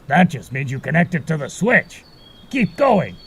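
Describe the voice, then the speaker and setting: A man's voice: on the high side, very animated, measured pace, fairly bright tone, husky, heavily acted. A man voicing a laid-back, knowing male sidekick in an audio drama, casual and wry.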